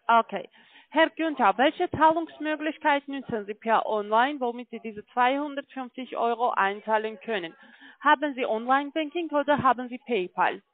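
A non-native German-speaking woman with a foreign accent giving a telephone sales pitch as a call-centre agent, often checking in as she goes. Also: moderate pace. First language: not German. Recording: muffled, tinny phone line.

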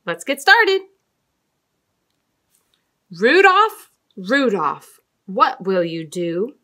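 A middle-aged woman telling stories and talking to young children as a storytime host, with an expressive, deliberate sing-song delivery and dramatic emphasis. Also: mid-range voice. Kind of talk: read-aloud storytelling and talk to young children. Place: small indoor room with fabric backdrop.

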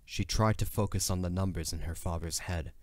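A man's voice: low-pitched, a little fast, darkish tone, dry recording, studio quality. A man narrating a scripted fantasy audio drama as a dramatic storyteller.

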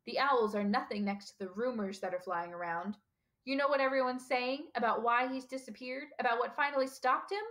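A woman's voice: on the high side, fairly quick, a bit bright, pitched above the performer's own voice, close to an everyday voice. A woman voicing a stern older female professor in an audiobook, with a clipped, emphatic, impatient manner. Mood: exasperated, admiring. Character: stern older female professor, brisk, indignant, easily ruffled. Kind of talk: audiobook character dialogue.

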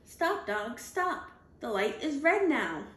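A woman reading a children's picture book aloud, deliberately, in clearly spaced phrases for young children, recorded indoors at home. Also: high voice, sing-song, a bit bright. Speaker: storybook reader. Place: indoors at home, on a leather couch. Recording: roomy home recording with background noise.

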